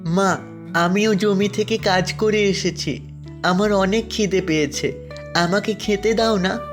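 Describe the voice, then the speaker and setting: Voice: medium pitch, slow pace, music in the background, lightly acted. Actor doing a meek hardworking foster boy. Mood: hungry.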